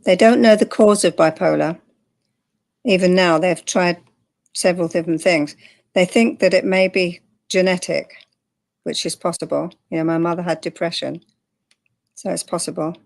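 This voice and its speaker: An older woman from England, calmly and plainly sharing her story as an interview guest. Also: on the low side, moderate pace, gravelly, pauses often. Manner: calm, matter-of-fact, with light laughs. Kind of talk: candid personal interview.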